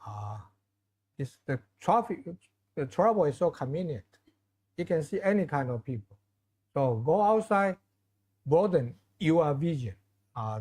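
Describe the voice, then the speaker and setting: Chinese-accented man lecturing, halting. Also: on the high side, darkish tone. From China. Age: middle-aged. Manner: halting, restarts phrases, many fillers, asks audience for questions. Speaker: visiting lecturer. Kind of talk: informal closing remarks to students.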